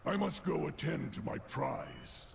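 A man playing a menacing fantasy game lord, declaiming theatrically in a gravelly voice. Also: a little high, expressive, measured pace, heavily acted. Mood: gloating, commanding. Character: villainous demon lord of nightmares and a defiant bear spirit, menacing. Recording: noisy game audio with effects behind.

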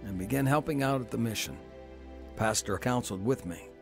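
A man's voice: medium pitch, brisk, gravelly, soft background music, lightly acted. An actor playing a reformed former crook who tells his own story in a radio drama, talking plainly and reflectively. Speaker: narrator and main character. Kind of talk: radio drama acting and narration.

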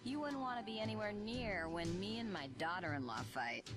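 A woman's voice: medium pitch, animated, a little fast, over loud music, natural voice. An actress playing a gossipy older townswoman in a video game, chatty and wry.

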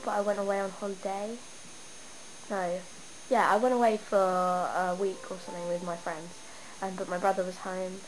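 A young woman with a stuffy cold, chatting and rambling excitedly as she shows off her game town at home. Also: mid-range voice. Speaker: gamer showing her town. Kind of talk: casual game walkthrough. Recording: noisy home camera recording. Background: steady background noise.

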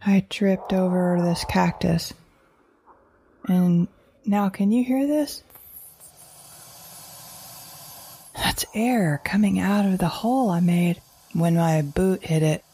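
An anxious, defiant survivor mother, low-pitched. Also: slow pace, mellow, breathy edge, exaggerated voice. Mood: angry, shaken. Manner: fretful, self-questioning, fragmented, repeating herself. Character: anxious, defiant mother surviving a wild post-apocalyptic world. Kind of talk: audio drama monologue, recorded-journal narration.

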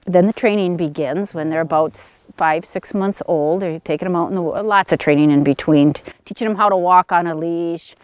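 A woman's voice: on the low side, fairly quick, hardly any pauses, a little mellow, no room sound. A middle-aged woman chatting plainly about her hunting dogs outdoors in snowy woods, with lots of uhs, recorded on a camera mic. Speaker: beagle owner. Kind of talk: casual outdoor interview.

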